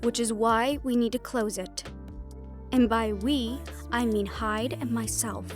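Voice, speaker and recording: A woman acts out a knowledgeable occult mentor in an audio drama. Her voice sits fairly high, reassuring yet firm as she explains. Music plays behind her. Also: steady tone, unhurried, close to an everyday voice. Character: protective, knowledgeable female occult investigator and mentor. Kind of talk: audio roleplay acting.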